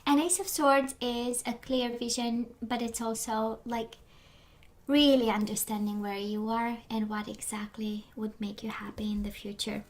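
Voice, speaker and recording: Higher-voiced woman losing her voice. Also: fairly even intonation, a little slow. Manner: rambling, many fillers, drops into whispers. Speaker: tarot reader. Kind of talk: casual spoken tarot reading.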